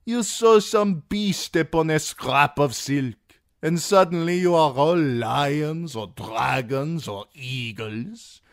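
A man putting on a fat, self-satisfied merchant lord who purrs his taunts in a genial, drawn-out, theatrical way. The voice sits noticeably higher than a plain deep baritone and swings widely in pitch. It is recorded dry and close. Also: lively intonation, no echo. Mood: jovial. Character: fat, jovial merchant magister, smug and needling. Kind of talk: audiobook character reading.

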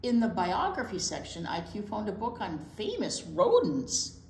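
An older woman reading a picture book aloud to children in an expressive way, with asides to young listeners. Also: medium pitch, normal speed, a little hiss or hum. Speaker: library storytime reader. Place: indoor library storytime set. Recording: roomy indoor sound.

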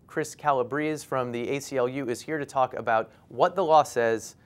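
An American man in his thirties speaks as a news interviewer. His voice has a middling pitch. He is recorded on a lapel mic in a newsroom studio. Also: moderate pace. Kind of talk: news introduction and interview questions. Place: open newsroom studio, seated at table.

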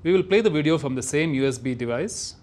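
A man's voice: fairly high pitch, animated, fast, a little mellow. A man reading scripted narration for a product demonstration.

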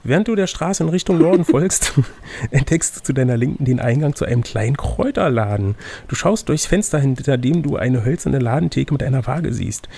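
Male game master, gravelly and slightly rough, casual storytelling with teasing asides. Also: medium pitch, animated, brisk, warm tone. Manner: teasing, playful. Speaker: game master and reader of an adventure gamebook. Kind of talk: reading a gamebook aloud with casual banter.

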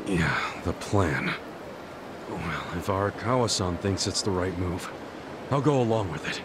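An actor playing a defiant, cocky, big-hearted gangster hero in a video game cutscene, brash and informal. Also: male voice, low voice, lively intonation, measured pace, noisy background. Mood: defiant, committed.

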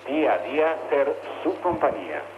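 A man's voice: on the high side, lively intonation, normal speed, dull audio, noisy background. A man with an Argentine Spanish accent speaking formally as a radio announcer over a long-distance link. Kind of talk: formal message read slowly for dictation.

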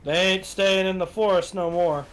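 A man's voice: high voice, fairly even intonation, normal speed, low-quality recording. A man giving casual reaction comments as a co-commentator.

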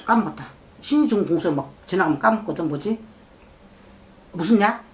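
A woman's voice: on the low side, lively intonation, brisk. A middle-aged woman, a shaman fortune teller, giving a client blunt advice and scolding her, and she keeps asking whether the client has understood. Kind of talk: fortune-telling consultation. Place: shrine office indoors.

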